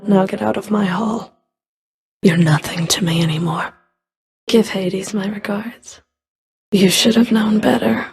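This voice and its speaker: A woman playing a menacing, contemptuous fury of the underworld in a video game, with a husky, breathy voice and a deliberate, threatening delivery. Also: medium pitch, fairly even intonation, a little mellow, close to an everyday voice. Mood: scornful dismissal. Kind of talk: video game combat voice lines.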